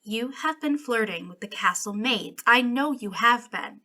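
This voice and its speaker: A woman's voice: fairly high pitch, animated, sharp tone. A woman playing an arrogant, jealous young princess in a dramatic ASMR roleplay, imperious but wounded and emotional. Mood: angry, accusing. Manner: imperious, emotional, halting when hurt. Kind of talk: ASMR roleplay, dramatic one-sided dialogue.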